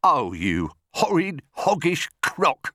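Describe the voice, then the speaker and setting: A cheeky little monkey from a children's storybook. The voice jumps up high and swings about, chatty, informal and excitable. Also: male voice, a little fast, no echo, clean studio sound. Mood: horrified. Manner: chirpy, informal, exclaiming.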